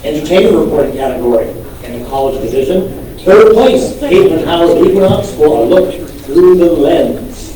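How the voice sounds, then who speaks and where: A man with a high voice rattles off award categories and winners at a banquet, briskly reading the list and throwing in the odd playful aside. He sounds far from the mic in a very echoey hall. Loud room noise runs under him. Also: lively intonation. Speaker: awards presenter.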